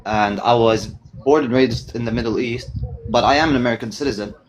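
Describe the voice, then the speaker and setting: Measured man from Palestine. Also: mid-range voice, slight background noise, low-quality recording. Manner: measured, thoughtful, many pauses and fillers. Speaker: guest. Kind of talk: casual political discussion.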